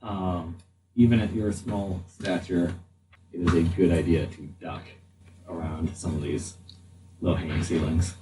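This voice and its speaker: A man acting as game master, narrating a tabletop game in an improvised, halting way as he thinks aloud. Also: low-pitched, steady tone, music in the background. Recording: slightly distant mic, faint room noise.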